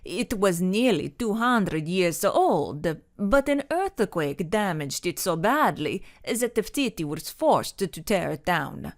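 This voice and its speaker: A woman voicing a kindly, amused Italian man with a thick Italian accent and a halting, chuckling way of talking. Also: fairly low pitch, sing-song, full character voice. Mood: gentle.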